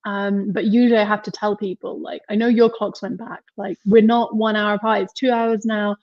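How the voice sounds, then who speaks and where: A woman with an English accent talks as a podcast guest, chatting in a loose, rambling way with frequent laughs. Her voice is pitched around the middle for a woman. The sound is dry and a little muffled, like a video call. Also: little pitch movement, moderate pace, dry recording. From England. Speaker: podcast guest, marketing mentor. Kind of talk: casual interview chat.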